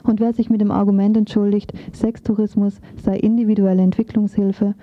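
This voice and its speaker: A woman's voice: medium pitch, flat delivery, fairly quick. A woman reading a scripted radio feature as a presenter, on a muffled, narrow recording.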